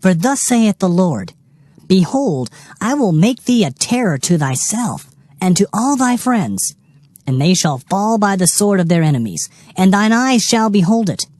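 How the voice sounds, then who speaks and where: A man's voice: sits high, big pitch swings, unhurried, a little mellow. A man playing a stern, anguished Hebrew prophet pronouncing doom in a solemn, declamatory dramatized scripture reading. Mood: stern denouncing. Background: background music.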